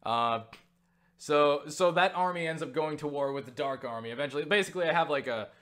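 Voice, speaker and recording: A young man casually recounting a story for viewers, excitable and full of swearing and filler words. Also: a little high, brisk. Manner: excitable, swears freely, lots of fillers and laughs. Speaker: YouTuber answering viewer questions.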